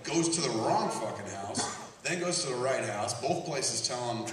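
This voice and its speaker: Guy hosting a live podcast, swearing and doing silly voices, recorded by a camera far back in a big echoey room, crowd laughing loud. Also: medium pitch, normal speed. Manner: profane, animated storytelling with mocking voices. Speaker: podcast host. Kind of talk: live comedy podcast, reading news and riffing. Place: campus stage before a live audience. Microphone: hand-held camera recording from the audience.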